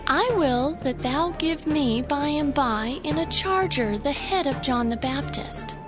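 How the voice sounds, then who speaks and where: A woman's voice: high voice, unhurried. A woman playing an eager, demanding young princess in a dramatized scripture production. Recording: music behind the voice. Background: prominent background music.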